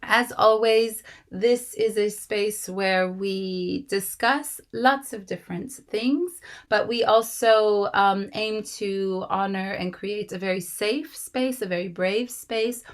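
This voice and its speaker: A woman in her thirties with a slightly raspy voice from a cold, warmly welcoming guests to an online meeting with many fillers. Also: mid-range voice, takes it slowly, hardly any pauses. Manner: gracious, rambling with fillers. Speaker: host. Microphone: video call.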